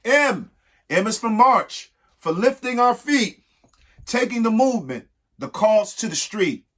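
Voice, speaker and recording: A husky-voiced man reading a rhyming picture book aloud expressively, emphatic, with pauses between short phrases. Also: sits high, very animated, fairly bright tone. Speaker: reader of a children's picture book. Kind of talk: expressive read-aloud of a rhyming alphabet book with spoken asides.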